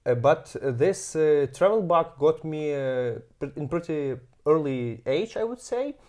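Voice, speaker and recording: A man in his thirties from Ukraine with a Ukrainian accent, speaking as an interview guest and often pausing with fillers while he searches for words. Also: on the high side, lively intonation, darkish tone. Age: 30s. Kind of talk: casual interview.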